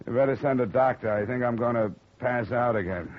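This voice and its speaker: An actor playing a wry, battered, hard-boiled insurance investigator in a 1950s radio detective show, clipped and wisecracking. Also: male voice, medium pitch, a little fast, natural voice. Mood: groggy, wry. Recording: muffled, noisy vintage radio recording.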